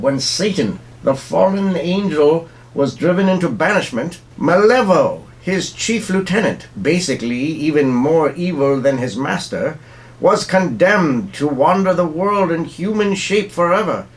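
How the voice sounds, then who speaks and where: An actor playing a learned doctor of the occult, grave and portentous in pulp radio melodrama style. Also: male voice, on the high side, low-quality recording. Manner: expository, portentous.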